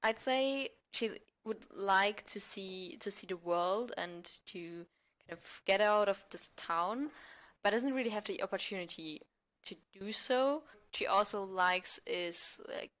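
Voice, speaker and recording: A woman's voice: mid-range voice, measured pace, a bit bright. A woman giving casual, informal interview answers as a podcast guest, thinking aloud as she goes. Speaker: podcast guest, amateur audio play actor.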